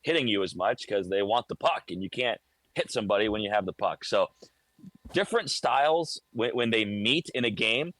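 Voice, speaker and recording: A man's voice: fairly low pitch, animated, normal speed, fairly bright tone, no echo, low-quality recording. A man talking casually as a hockey radio announcer, explaining things conversationally in a live game-day report. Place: high broadcast booth inside a hockey arena.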